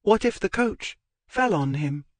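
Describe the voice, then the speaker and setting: A woman's voice: medium pitch, expressive, a little slow, no room sound, pitched above the performer's own voice, close to an everyday voice. A man voicing a fiery, strong-willed young woman who speaks in a clipped, formal way. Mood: indignant. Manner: indignant, clipped formal speech.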